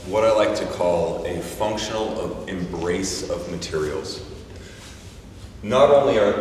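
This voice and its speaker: A man giving an informal product launch talk as a company chief, speaking in a halting, reflective way with frequent pauses. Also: low voice, a little mellow. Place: large reverberant indoor museum room. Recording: echoey hall, distant mic, faint noise.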